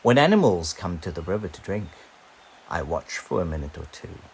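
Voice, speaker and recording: A man's voice: deep voice, big pitch swings, moderate pace, a little hiss or hum, voice pushed down. A man voicing a sly, hungry crocodile from a children's storybook, relishing the words.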